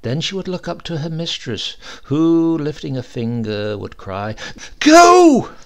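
A man reading a classic short story aloud in a measured, dramatic storytelling manner. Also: a little high, expressive, gravelly, darkish tone. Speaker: narrator.

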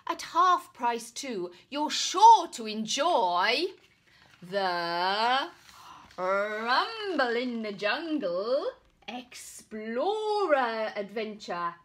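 A woman voicing a keen, helpful male shopkeeper in a storybook, pitching adventures with drawn-out, dramatic salesmanship. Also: very animated, heavily acted. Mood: keen, persuasive. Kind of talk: storybook character voice.

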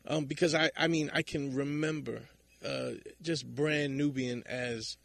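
Bright, gravelly man. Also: medium pitch, fairly even intonation, measured pace, dry recording. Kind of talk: casual chat.

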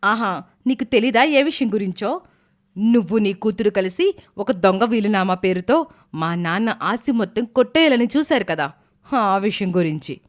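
A woman's voice: medium pitch, animated, normal speed, natural voice. A woman playing an angry, accusing young niece in a family drama story, confrontational and sarcastic.

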